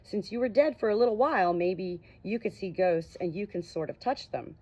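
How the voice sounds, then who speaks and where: A woman's voice: medium pitch, animated, normal speed, warm tone, close to an everyday voice. A woman voicing a shy, wry and frank amnesiac ghost girl in an audiobook, with a hesitant manner. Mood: tentative. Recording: dull, muffled lo-fi audio.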